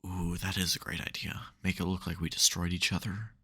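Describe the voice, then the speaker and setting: A man playing a supervillain nemesis who is secretly soft, teasing dryly and getting flustered in an intimate audio roleplay. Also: sits low, little pitch movement, fairly quick, husky, full character voice. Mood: wry, scheming. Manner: dry teasing, hesitant, mock-grudging.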